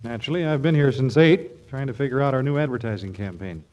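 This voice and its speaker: An actor playing a driven, businesslike company boss, emphatic and brisk, in a 1940s radio comedy. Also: male voice, medium pitch, a little mellow, natural voice. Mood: brisk, urgent. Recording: dull vintage 1940s radio recording.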